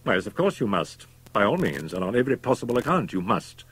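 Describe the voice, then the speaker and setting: A man performs a worldly young doctor with murder behind him, in a storybook reading. He is calm and domineering, pressing each point persuasively in a firm, slightly husky voice. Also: fairly low pitch, fast talker, voice pushed up, exaggerated voice. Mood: cool, businesslike.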